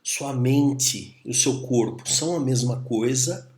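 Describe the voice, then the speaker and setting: A man from Brazil with a Brazilian Portuguese accent, giving a calm, musing video lecture as a teacher, often pausing and adding little tag questions. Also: mid-range voice.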